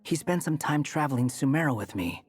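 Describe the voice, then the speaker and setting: An actor playing a sardonic, aloof young traveling scholar in a video game, dry and smug. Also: male voice, on the high side, animated, brisk, close to an everyday voice. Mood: serious.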